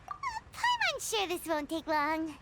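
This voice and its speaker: A woman voicing a tiny, chatty, blunt childlike fairy girl for a video game, with a squeaky voice. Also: huge pitch swings, slow, heavy background noise, exaggerated voice. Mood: confident. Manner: speaks of herself in third person, exclaiming. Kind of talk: animated video game acting. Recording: music behind the voice.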